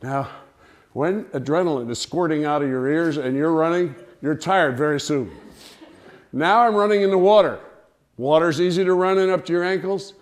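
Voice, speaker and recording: A man telling a humorous personal anecdote to listeners in an animated, theatrical way. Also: a little high, normal speed. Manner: animated, theatrical storytelling with acted-out cries and dialogue. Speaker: storyteller, speaker to an audience.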